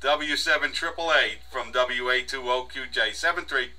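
A man's voice: medium pitch, normal speed, very noisy. An American man speaking casually as an amateur radio operator during an on-air exchange. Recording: distant from mic, harsh home recording.

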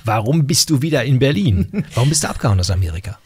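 Husky middle-aged man, lively radio host. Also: medium pitch, fast talker, no room sound. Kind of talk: casual radio interview. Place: small radio studio. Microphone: close studio broadcast mic on boom arm.

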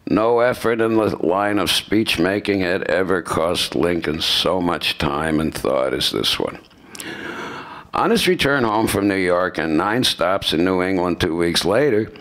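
A man's voice: low voice, fairly bright tone, clear, resonant tone. An older American man in his seventies reading the part of a 19th-century associate of Lincoln recalling him. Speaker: amateur radio play actor. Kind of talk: reading a scripted historical recollection aloud. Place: lecture hall stage before a seated audience. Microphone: podium microphone.

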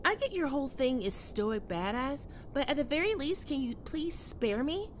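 High-pitched, flustered catgirl, acted by a woman. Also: lively intonation, moderate pace, slight background noise. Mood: prickly, flustered. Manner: stammering, flustered, prickly. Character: skittish, defensive young female catgirl roommate, secretly frightened. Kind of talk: ASMR roleplay acting.

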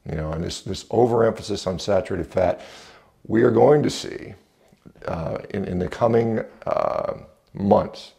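A man with an American accent speaks in a deep voice full of heavy creak. He gives unscripted opinions bluntly and dismissively, talking conversationally as if straight to a viewer. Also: mellow. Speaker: video reviewer and commentator. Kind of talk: unscripted opinion commentary to camera. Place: indoors, home entrance hall. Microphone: small clip-on lapel mic.

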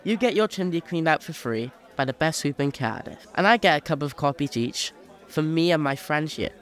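An actor playing a cheeky, enterprising young chimney sweep who banters in an audio drama. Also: male voice, fairly high pitch, animated, normal speed, natural voice. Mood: cheeky, pleased.

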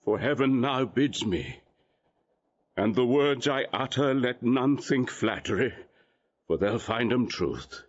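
Slow, solemn archbishop. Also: male voice, mid-range voice, expressive, lo-fi sound. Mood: solemn, prophetic. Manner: declamatory, reverent, oratorical. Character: solemn, exalted archbishop prophesying blessings. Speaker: actor playing an archbishop. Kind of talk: Shakespearean audio drama acting.

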